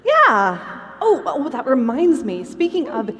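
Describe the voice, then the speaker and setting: A woman's voice: high-pitched, very animated, takes it slowly, fairly bright tone, exaggerated voice. A woman playing an eager, earnest church lady in a chirpy manner in a live stage comedy sketch. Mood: sheepish. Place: convention stage in a large hall. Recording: echoey hall, live stage.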